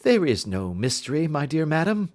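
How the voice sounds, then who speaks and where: A man voicing a brisk, confident, courteous gentleman detective in an audiobook, speaking in precise, formal Victorian phrases. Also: fairly high pitch, sing-song, pitched above the performer's own voice. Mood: confident, explaining. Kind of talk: audiobook character voice.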